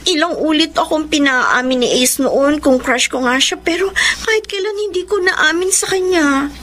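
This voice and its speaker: A woman in a radio drama playing a lovesick, tearful young soul with a halting, emotional delivery. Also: sits high, fairly bright tone, a little hiss or hum. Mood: wistful confession. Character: lovesick, tearful young confessor, tender and self-sacrificing. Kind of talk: Filipino radio drama acting.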